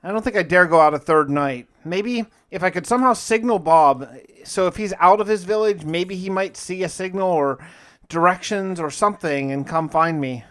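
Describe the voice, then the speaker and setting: A man's voice: high voice, normal speed, a bit bright. A man narrating his own survival game playthrough in a casual, folksy, conversational way, like a video host telling a story in the first person. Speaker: gaming video host and player.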